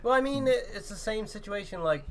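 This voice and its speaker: Higher-pitched man chatting casually. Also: lively intonation, brisk, a bit bright. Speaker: podcast co-host. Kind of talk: casual gaming podcast chat.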